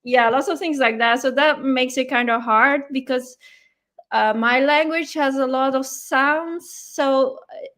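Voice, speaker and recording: A woman's voice: high voice, measured pace, fairly bright tone. A woman whose first language is Dutch, a guest in a casual interview, explaining things patiently with many fillers.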